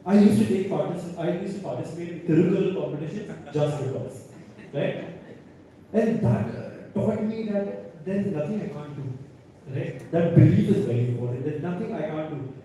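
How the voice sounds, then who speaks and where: A man's voice: a little high, normal speed, mellow. A young man from North India with an Indian accent, sharing anecdotes on a panel in a conversational way, often checking in with "right?". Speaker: alumni panelist, businessman. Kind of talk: informal panel talk with anecdotes. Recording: echoey hall, distant mic, faint noise.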